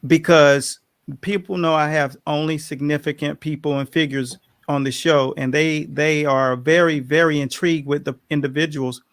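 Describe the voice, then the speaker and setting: A man hosting an interview show, emphatic and animated, with frequent affirmations. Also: on the high side, normal speed, no room sound, clean studio sound. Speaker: show host.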